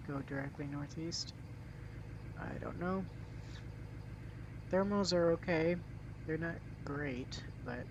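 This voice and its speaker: Man getting over a cold, flat game commentary. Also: a little high, slight background noise. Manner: relaxed, hesitant, many ums and restarts. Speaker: gaming channel host. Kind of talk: casual gameplay commentary.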